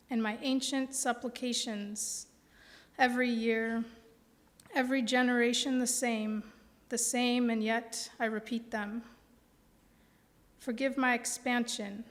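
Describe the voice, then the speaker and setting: An American woman in her forties, a poet giving a live reading with deliberate phrasing and pauses. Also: on the high side. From Milwaukee, American Midwest. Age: 40s. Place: indoor reading before a live audience. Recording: reverberant hall sound.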